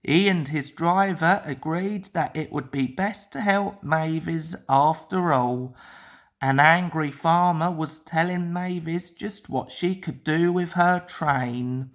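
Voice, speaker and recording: A woman narrates a children's story from a book. Her voice sits a little low, and she takes the text at an extremely slow pace. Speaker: storybook reader.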